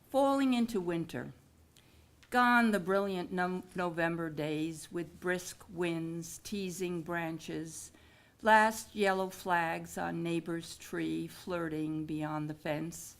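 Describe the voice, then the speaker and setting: A woman poet at a village hall event, explaining and then reciting her own short poem with unhurried care. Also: fairly low pitch. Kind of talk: poetry reading at a public event.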